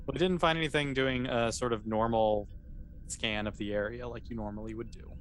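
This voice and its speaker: A man running a tabletop sci-fi game as game master, improvising narration and explaining in an informal, halting way with self-corrections. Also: medium pitch, steady tone, fairly bright tone. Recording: clearly noisy recording.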